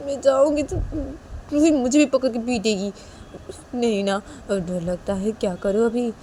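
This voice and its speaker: A woman's voice: fairly low pitch, fairly even intonation, moderate pace, warm tone, natural voice. Timid young dad begging n stammering, woman doing the voice, faint background noise. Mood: panicky. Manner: pleading, stammering. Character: timid young father, anxious and pleading.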